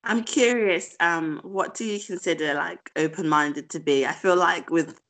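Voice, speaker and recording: Curious woman interviewer, low voice. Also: measured pace, fairly bright tone. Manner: curious, thoughtful questioning. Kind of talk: casual conversational interview.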